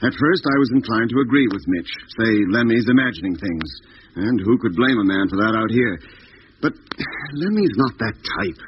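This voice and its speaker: An actor playing a calm, reasonable spaceship doctor in a 1950s radio drama, with a measured, earnest delivery. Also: male voice, fairly low pitch, lightly acted. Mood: earnest, reasoning. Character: calm, reasonable male ship's doctor and diarist. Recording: muffled, noisy 1950s radio recording.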